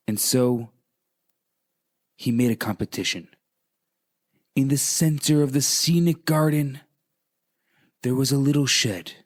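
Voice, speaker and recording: A man, a rabbi on the radio, telling stories with deliberate phrasing and dramatic repetition. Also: mid-range voice. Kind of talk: conversational radio storytelling.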